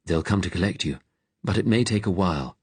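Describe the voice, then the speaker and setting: A man voicing a kindly, weary vicar in an audiobook, gentle but direct and firm. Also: low voice, lively intonation, a little fast, slightly rough, no room sound, exaggerated voice. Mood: gentle, steady. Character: kindly, weary male vicar, calm and firmly commanding.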